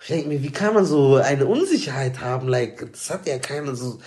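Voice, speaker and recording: A man's voice: medium pitch, expressive, normal speed, darkish tone. A man co-hosting a casual discussion, speaking informal, slangy German with bits of English mixed in. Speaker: talk show co-host.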